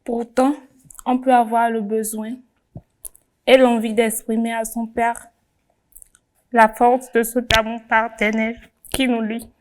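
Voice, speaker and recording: Slow, tearful young-sounding woman reading. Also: a little high, little pitch movement. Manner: slow, solemn, emotional reading. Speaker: pageant candidate. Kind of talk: reading a heartfelt letter aloud.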